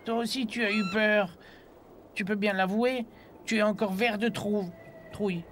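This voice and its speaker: A man's voice: sits high, steady tone, a little slow, a bit bright, a little hiss or hum. A man voicing a whiny, impatient, reluctant sidekick who complains his way through a dubbed cartoon. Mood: teasing. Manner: whining, complaining. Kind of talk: dubbed low-budget cartoon dialogue. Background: music.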